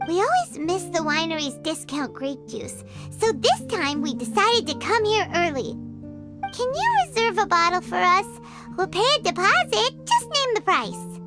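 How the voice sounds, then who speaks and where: A woman voicing a tiny, excitable, childlike fairy companion with a squeaky voice in an anime video game. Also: unhurried, over music, heavily acted. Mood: eager, chirpy. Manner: exclamatory, talks of herself in third person.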